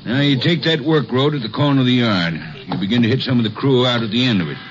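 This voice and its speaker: An actor playing an obliging, slightly hesitant, folksy logging camp boss in a 1950s western radio drama. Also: male voice, mid-range voice, quick pace, natural voice. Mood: helpful. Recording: muffled, noisy old radio recording.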